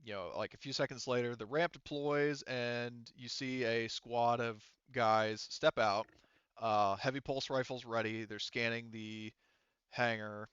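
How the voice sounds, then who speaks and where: A man running a tabletop game as game master, explaining rules casually in a halting, self-correcting way. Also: mid-range voice, fairly even intonation, a bit bright, clear, resonant tone, dry recording, dull audio.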